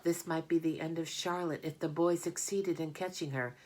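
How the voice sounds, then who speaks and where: A woman with a low voice reads a children's storybook aloud. Her delivery is scripted narration with plenty of expression. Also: fairly even intonation, moderate pace, darkish tone.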